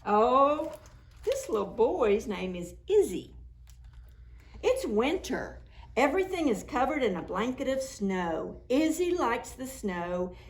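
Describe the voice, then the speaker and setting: Woman storytime reader, animated sing-song delivery, unhurried pace, deliberate, preschool picture book narration. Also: on the high side. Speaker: children's library storytime reader.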